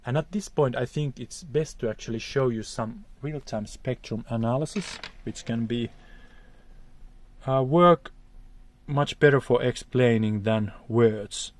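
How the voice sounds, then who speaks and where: A man's voice: medium pitch, measured pace. A man with a Finnish accent teaching vocal technique, explaining acoustics in a careful, hesitant way. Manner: careful, hesitant, searching for words.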